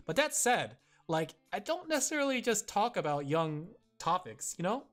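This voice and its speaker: A man's voice: high voice, sing-song, moderate pace, fairly bright tone, faint music behind. A man streaming and chatting casually with his viewers, rambling with lots of fillers and frequent giggles.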